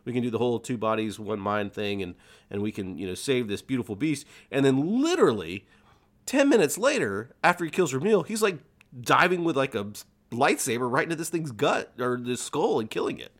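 A man's voice: mid-range voice, very animated, a little fast. A man co-hosting a podcast in a casual book discussion, talking emphatically and voicing characters' thoughts as he goes. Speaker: podcast co-host.

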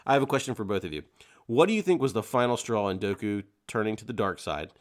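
Fast-talking man, low-pitched podcast co-host. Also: animated. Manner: emphatic, acts out characters' thoughts. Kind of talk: casual book discussion.

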